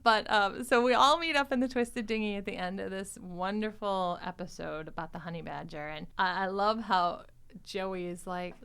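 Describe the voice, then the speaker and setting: A woman hosts a casual podcast discussion in a mid-pitched voice. She talks expressively and with plenty of enthusiasm, in an informal, chatty way. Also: normal speed, vocal fry, fairly bright tone, clean tone. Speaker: podcast host.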